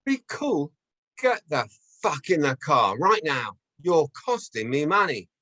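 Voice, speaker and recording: A man's voice: on the high side, very animated, unhurried, sharp tone, dry recording, pitched above the performer's own voice, exaggerated voice. Guy reading as angry 50s pimp, menacing and bullying, voice jumps up and down, super dry sound. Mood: raging, threatening. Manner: aggressive, bullying, swearing. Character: raging thin pimp in his early fifties, threatening, foul-mouthed. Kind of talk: screenplay table read acting.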